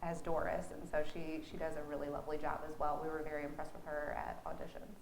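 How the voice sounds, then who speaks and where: A woman's voice: deep voice, level tone, quick pace, creaky, echoey room, a little hiss or hum, rough recording. A woman, a theatre director being interviewed, chatting casually in a playful, teasing way.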